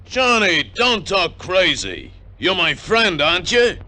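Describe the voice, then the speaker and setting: An actor playing a huge, needy former army sergeant, insistent and pleading, in a 1950s radio drama. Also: male voice, sits high, wildly swooping pitch, slow, heavily acted. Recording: vintage 1950 radio recording.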